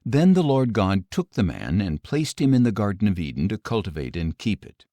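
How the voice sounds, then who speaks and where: Low, mellow male scripture narrator. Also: sing-song, normal speed, no room sound. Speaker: audiobook Bible narrator.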